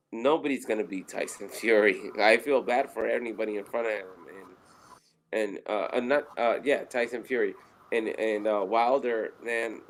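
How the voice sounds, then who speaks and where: A man's voice: lowish voice, slight background noise, rough recording. A man with a Puerto Rican accent, co-hosting a podcast in casual, rambling, profane chat. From Puerto Rico. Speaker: podcast co-host.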